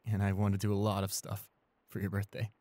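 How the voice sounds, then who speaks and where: A man acts out a shy, clumsy young boyfriend in an intimate audio roleplay. His voice is mellow and soft, recorded close and dry. He is anxious and devoted, hesitating and stammering as he speaks. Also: fairly low pitch, monotone, fairly quick, no room sound, natural voice. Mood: gently reassured. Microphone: close studio condenser microphone.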